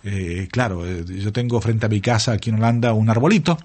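An older man talks at length in a radio studio. His voice sits low and a little husky. He muses aloud, trailing off into fillers and little tag questions as he goes. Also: fairly quick, darkish tone. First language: Italian is his second mother tongue. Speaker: retiring radio colleague, interview guest. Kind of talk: relaxed conversational interview.

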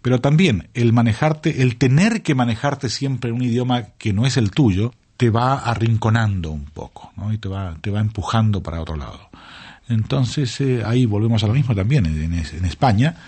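An older man chatting as a guest in a radio interview, reflective and unhurried, with many fillers and tag questions. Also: medium pitch, darkish tone, breathy edge. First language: Italian is his second mother tongue. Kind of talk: relaxed conversational interview.

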